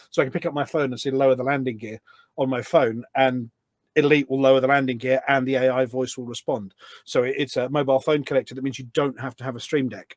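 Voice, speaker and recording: A man's voice: medium pitch, no echo. A man talking enthusiastically in a podcast interview as a guest, explaining things step by step and often posing questions as he goes. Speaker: podcast guest, voice pack developer.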